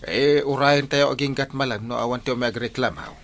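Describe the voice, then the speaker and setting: A man's voice: medium pitch, normal speed, fairly bright tone, natural voice. A man playing a folksy, hesitant village captain in an Ilocano radio comedy drama. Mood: managing.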